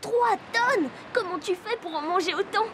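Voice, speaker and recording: Very high-pitched, cheerful little girl. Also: lively intonation, normal speed, heavy background noise. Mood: cheerful, curious. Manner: bright-eyed, inquisitive, enthusiastic. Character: curious, cheerful little girl who travels to animal friends. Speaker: main character and narrator. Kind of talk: children's cartoon acting.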